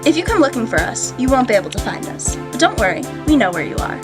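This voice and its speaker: A woman's voice: very animated, over music. An actress playing a mysterious female guardian of a magical relic, giving a theatrical, enigmatic address in a drama. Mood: ominous, knowing. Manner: theatrical, enigmatic, deliberate sales-pitch address. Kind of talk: scripted dramatic monologue.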